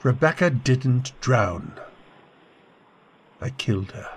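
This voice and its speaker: A man playing a tormented, bitter, guilt-ridden husband with a husky voice, in old-time radio drama style. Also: medium pitch, sing-song, slow, a little hiss or hum, full character voice. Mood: bitter, confessing. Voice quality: husky, gravelly.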